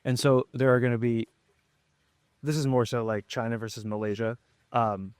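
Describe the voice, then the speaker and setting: Relaxed man giving learning advice. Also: mid-range voice, moderate pace, clear, resonant tone, dry recording, studio quality. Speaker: podcast host. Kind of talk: casual conversation.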